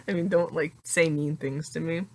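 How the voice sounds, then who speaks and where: A young adult woman with a deeper voice talking casually in a confessional vlog, full of "like" fillers and laughing often. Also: sing-song, measured pace, dry recording. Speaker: vlogger.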